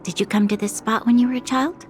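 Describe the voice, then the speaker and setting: A woman voicing a calm, tender young woman in a fantasy game, soft-spoken and unhurried. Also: on the high side, over music, natural voice. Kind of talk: anime game voice acting.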